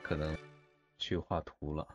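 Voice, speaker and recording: Very deep-voiced, modest man. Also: flat delivery, unhurried. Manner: modest, self-deprecating, hesitant. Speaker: voice actor. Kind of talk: casual group chat. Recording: muffled online voice chat, music behind. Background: background music.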